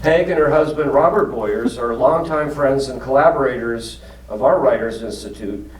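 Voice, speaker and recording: A man's voice: medium pitch, moderate pace. A man hosting an event, reading a formal introduction steadily from prepared notes. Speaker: host of a writers institute event. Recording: roomy, distant mic in a hall.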